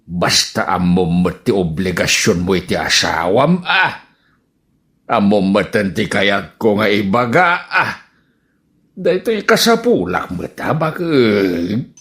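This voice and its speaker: A man's voice: on the low side, animated, slow, a bit bright. A man playing a jealous, whiny husband in an Ilocano radio comedy drama, with an Ilocano accent. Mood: sulky.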